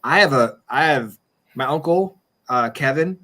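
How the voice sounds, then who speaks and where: A man's voice: mid-range voice, lively intonation, measured pace, pushed and bright. A man hosting a podcast in casual chat, joking and rambling as he goes. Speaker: podcast host. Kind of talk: casual chat, closing a show.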